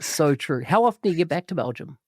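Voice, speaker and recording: Upbeat New podcast host. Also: male voice, fairly high pitch, expressive, fast talker, vocal fry, dry recording, studio quality. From New Zealand. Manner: enthusiastic, warmly praising. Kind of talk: podcast interview.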